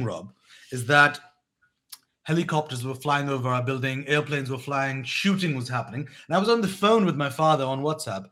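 A man in his thirties, born in Pakistan and raised in England, calmly recounting his past as a guest on an interview show, with frequent restarts. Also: medium pitch. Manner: reflective, measured, with many restarts. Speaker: guest, education company co-founder. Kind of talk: storytelling in a podcast interview.

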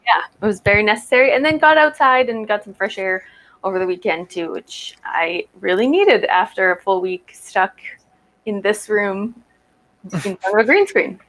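A woman's voice: medium pitch, expressive, moderate pace, a bit bright, rough recording. A woman representing an industry council, talking casually on a video call and laughing often. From Canada. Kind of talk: casual chat. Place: home room with green screen.

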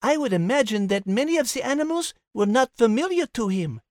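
A woman's voice: a little high, lively intonation, brisk, darkish tone, no echo, studio quality, natural voice. A woman voicing a smart female cat co-host with a prim, patient manner. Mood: explaining. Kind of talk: scripted podcast banter.

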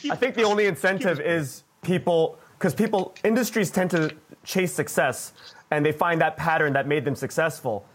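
A man on a roundtable panel who speaks haltingly, often repeating words as he goes. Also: on the high side. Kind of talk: roundtable discussion.

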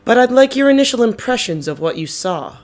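An actress playing a composed, authoritative team boss in an audio drama, polite and measured. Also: female voice, a little high, big pitch swings, darkish tone. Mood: composed, businesslike. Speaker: voice actor in a fiction podcast. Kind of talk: scripted audio drama acting.

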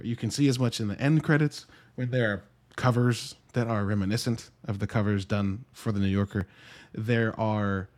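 Wry man reviewing movies casually. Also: medium pitch. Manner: conversational, wry, self-interrupting with dry asides. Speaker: podcast host and movie reviewer.

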